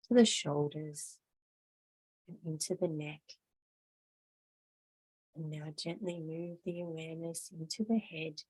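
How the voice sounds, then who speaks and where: A woman with a slightly low voice leads a yoga class as its teacher. She speaks very slowly and gently, giving measured instructions in the style of a guided meditation. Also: slightly rough, poor audio. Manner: slow, gentle, measured guiding.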